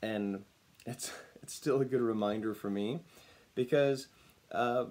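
A man in his thirties speaks to a desk webcam from a room at home, his voice still a little raspy after losing it. He talks in an upbeat, smiling way and chuckles often. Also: medium pitch, slow pace, darkish tone. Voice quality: slightly raspy. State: recovering from lost voice. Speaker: personal branding speaker. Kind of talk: casual talk to camera. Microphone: webcam at desk distance.

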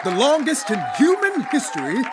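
A man's voice: very high-pitched, wildly swooping pitch, moderate pace, heavy background noise, heavily acted. An actor playing a brash, upbeat male show promoter in a musical audio drama, with a showy, exclamatory delivery. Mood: triumphant. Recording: loud music and crowd behind.

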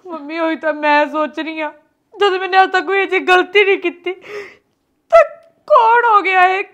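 A woman's voice: very high-pitched, moderate pace, fairly bright tone, muffled recording. A woman playing a tearful, wronged young wife. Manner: protesting, tearful.

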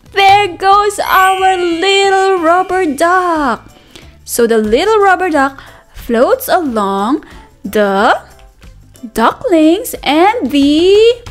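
A woman reads a picture book aloud to toddlers as a teacher, in a sing-song, exaggerated manner, repeating words and asking questions. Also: extremely high pitch. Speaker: children's read-aloud teacher. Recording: voice over background music. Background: music.

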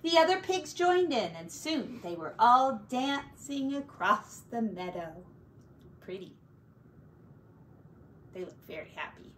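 A middle-aged woman reading a picture book aloud to children like a teacher, with deliberate, drawn-out words and little asides. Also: on the high side, big pitch swings, a little hiss or hum. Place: small furnished room at home.

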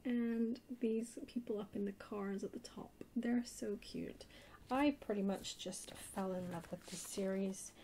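Hushed, creaky-voiced woman doing ASMR. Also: mid-range voice, fairly even intonation, unhurried. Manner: hushed, often dropping into whispers. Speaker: haul video host. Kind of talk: soft-spoken ASMR haul chat. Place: indoors at a table. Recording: noisy close home recording.

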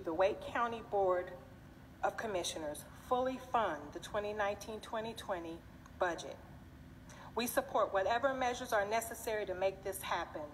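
Woman reading a statement at a podium. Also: medium pitch. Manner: reads steadily from notes at a podium. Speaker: PTA council president. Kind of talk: prepared public hearing statement. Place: large public meeting chamber, indoors. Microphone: podium microphone, filmed from the audience. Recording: reverberant hall, faint background noise.

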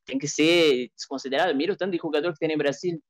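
Young Brazilian male, native Portuguese speaker, rapid argumentative delivery, very dry recording. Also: on the high side, dry recording. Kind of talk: informal football debate. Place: indoors, home streaming setup on a group video call.

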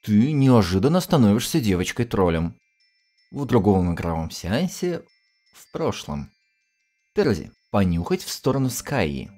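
A man's voice: fairly low pitch, big pitch swings, normal speed, warm tone. A man reading scripted story narration in a dramatic style.

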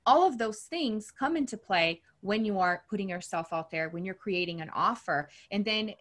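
A woman's voice: medium pitch, expressive, moderate pace, a bit bright. A woman in her thirties from Brazil, answering interview questions as a coach in an earnest, enthusiastic, conversational way. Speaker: coach and podcast host being interviewed.

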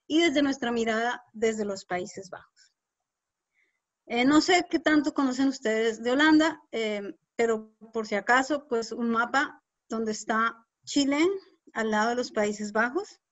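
A woman with a Colombian accent giving an explanatory online presentation, hesitant and repeating words as she goes. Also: fairly high pitch. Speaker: guest speaker, urban designer. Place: indoors, online video call. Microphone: video call microphone. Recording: dull lo-fi video call audio.

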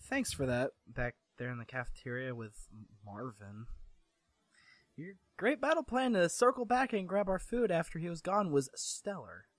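A sarcastic young man with French blood, mock-grand and guarded, cursing in French when annoyed, as a man reads him. His voice leaps up and down in pitch. It sounds dry and close to the mic. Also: sing-song, normal speed, no room sound. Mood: wry.

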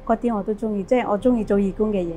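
A woman's voice: mid-range voice, very fast. A woman in her thirties from Indonesia, a service-centre worker, speaking hesitantly with frequent er fillers in a documentary interview. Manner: warm-hearted, hesitant with er fillers. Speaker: program volunteer and staff.

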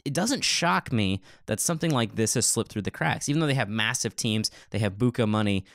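A man with a fairly low voice talks as a podcast co-host. His delivery is casual and conversational, and the sound is close and dry with very little room. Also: expressive, fairly quick, fairly bright tone, dry recording. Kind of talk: casual podcast chat and commentary.

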